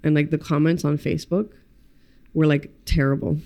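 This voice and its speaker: Young woman from the states, designer on a podcast, gravelly creaky voice, swears casually, lots of "like". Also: deep voice, level tone, normal speed, warm tone. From United States. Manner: candid, chatty, swears casually, many "like" fillers. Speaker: podcast guest, graphic designer. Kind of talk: casual conversation.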